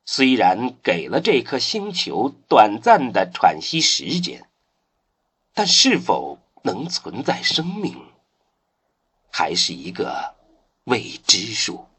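A man narrating a science-fiction radio drama in a solemn storytelling manner, with dramatic pauses. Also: medium pitch, huge pitch swings, a bit bright, breathy edge.

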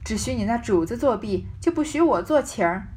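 A woman reading the part of a teasing, sharp-tongued married noblewoman in a storybook, with pointed, mock-scolding banter. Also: on the high side, expressive, fairly quick, slight background noise, close to an everyday voice.

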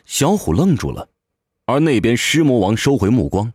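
A man's voice: medium pitch, sing-song, normal speed, a little mellow, husky, dry recording, studio quality. A man giving dramatic scripted narration of a fantasy audio novel. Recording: music behind the voice. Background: music.

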